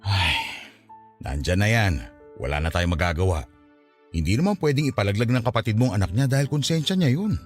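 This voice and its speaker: An actor playing a gentle, patient older father in a Filipino radio drama, with a mild, conciliatory manner. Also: lowish voice, sing-song, fairly quick. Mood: puzzled, placating. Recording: studio drama with music behind.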